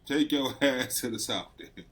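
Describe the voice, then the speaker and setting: A man's voice: mid-range voice, a little slow, a bit bright. A man co-hosting a podcast in loose, slangy casual chat, laughing often. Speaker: podcast co-host.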